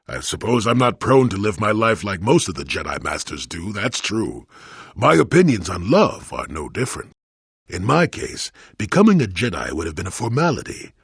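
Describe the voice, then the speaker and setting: A crotchety old male hermit from a sci-fi video game, low and gravelly. He grumbles in a folksy, sardonic way, his pitch rising and dropping freely as he needles whoever is listening. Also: very animated, moderate pace, no room sound, studio quality, heavily acted. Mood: reflective, wry. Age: older. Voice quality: gravelly, husky. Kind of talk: video game character acting.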